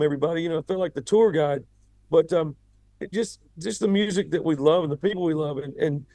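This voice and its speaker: A man with a raspy voice, a rock singer chatting casually as an interview guest, often repeating words as he starts his sentences. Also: fairly high pitch, dark tone, no room sound. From United States.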